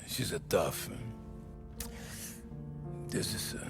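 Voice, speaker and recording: An actor playing a worried middle-aged father in a video game, halting, gravelly and Italian-accented. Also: over music. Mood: hesitant, worried. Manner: hesitant, halting. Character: worried older Italian-American father, barkeeper. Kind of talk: cinematic video game acting.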